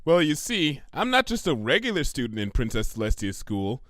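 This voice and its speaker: A man's voice: mid-range voice, big pitch swings, normal speed, a bit bright, dry recording, clean studio sound. A man voicing a prim, studious young female unicorn pony with a precise, pedantic, dignified way of talking, in a fan fiction reading. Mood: earnest, explanatory.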